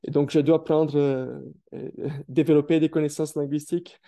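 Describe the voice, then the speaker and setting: Man, thirties, very mellow dark tone, slightly high pitch, halting with many fillers, video call. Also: flat delivery. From Brazil. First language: Portuguese. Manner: hesitant, many fillers and restarts. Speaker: guest speaker. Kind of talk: career testimony on a webinar.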